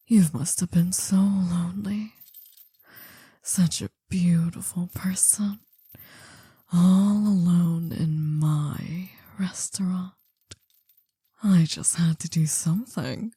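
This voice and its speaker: A woman playing an older female mafia boss in an intimate ASMR roleplay, possessive, doting and soothing, in a breathy half-whisper. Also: on the low side, fairly even intonation, warm tone, no room sound, studio quality, exaggerated voice. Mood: tender, soothing. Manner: slow, soothing, coaxing.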